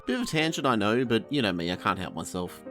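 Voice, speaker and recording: A man's voice: on the low side, sing-song, fast talker, music in the background. A man giving a casual, scripted review in a wry, conversational way. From Australia. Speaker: reviewer, host.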